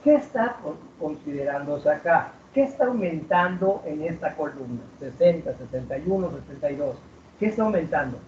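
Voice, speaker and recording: A man teaching math to a class, asking questions and drawing out key words slowly. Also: a little high, lively intonation, a little mellow, slight background noise. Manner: asks students questions, drags out key words. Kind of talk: classroom lecture. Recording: roomy, distant, dull classroom recording.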